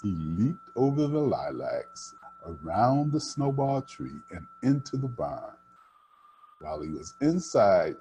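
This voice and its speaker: An older man reading a children's storybook aloud, with deliberate, dramatic phrasing. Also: medium pitch, mellow. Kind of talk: expressive read-aloud storytelling.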